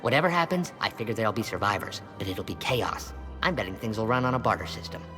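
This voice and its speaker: Shifty arms dealer in an echoing hall. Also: male voice, lowish voice, big pitch swings, quick pace. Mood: cagey, bargaining. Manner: slangy, evasive, salesman-like. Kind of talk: naturalistic game acting. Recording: long hall reverb, loud music behind.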